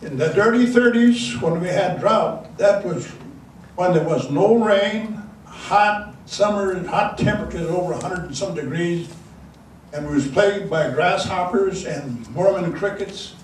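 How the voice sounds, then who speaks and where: An older man whose first language is Dakota reminisces to an audience with a slightly high voice, wandering through his stories with fillers and restarts. He is picked up from a distance in a very echoey room, with faint noise behind him. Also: a little slow. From South Dakota. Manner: rambling, many restarts and fillers. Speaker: elder giving a talk. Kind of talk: storytelling speech to an audience.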